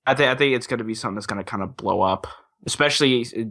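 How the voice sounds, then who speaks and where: Bright-voiced man in casual podcast chat. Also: medium pitch, racing. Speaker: podcast panelist.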